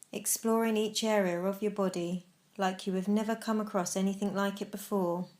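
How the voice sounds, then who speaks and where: Woman guiding a meditation with measured phrasing. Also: mid-range voice, darkish tone. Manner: measured, instructional, with deliberate pauses. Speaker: meditation guide. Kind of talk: guided meditation narration.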